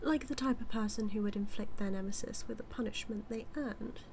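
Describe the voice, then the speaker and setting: A woman's voice: medium pitch, fairly even intonation, a little fast, a little mellow, slight background noise, lightly acted. A British woman playing a smug, scheming supervillain in an audio roleplay, taunting her nemesis with a theatrical, drawling delivery that dips toward whispers. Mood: mock-wounded. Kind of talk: scripted villain monologue roleplay, spoken to the listener.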